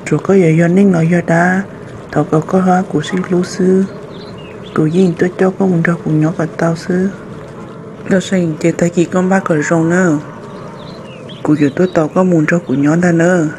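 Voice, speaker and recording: A man's voice: high-pitched, little pitch movement, moderate pace, background noise, close to an everyday voice. A man voicing a young man courting a dragon maiden in an amateur cartoon dub, speaking in short, repeated phrases. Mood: earnest.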